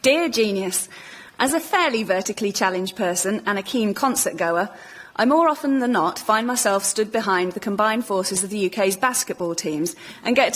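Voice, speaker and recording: A woman, a guest on a comedy show, reading out a prepared pitch. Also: fairly bright tone. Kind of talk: prepared pitch read aloud.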